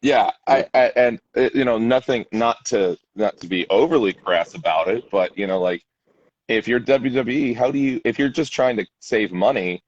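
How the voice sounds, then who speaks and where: A man's voice: medium pitch, moderate pace, no echo, muffled recording. A man co-hosting a wrestling podcast in casual chat, agreeable and rambling, with frequent yeahs. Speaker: podcast co-host.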